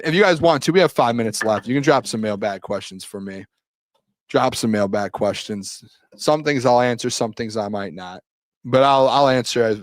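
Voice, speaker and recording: A man hosting a live sports talk show, hoarse from talking too much, bantering and defending his side. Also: mid-range voice, no echo, studio quality. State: losing his voice.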